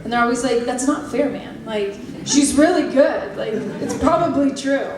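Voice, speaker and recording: A woman's voice: high-pitched, normal speed, recorded from a distance. A young woman on a convention panel answering fan questions, earnest and chatty, laughing along. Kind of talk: casual convention Q&A. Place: large convention hall. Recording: very echoey hall with a loud crowd, from afar.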